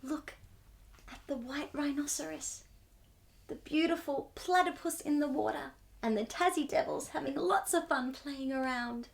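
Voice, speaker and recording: A woman hosting a storytime, reading a picture book aloud to young children in an expressive, wonder-filled way. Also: high voice, measured pace, vocal fry, slight background noise. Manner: wide-eyed wonder, exclamations and gasps between passages.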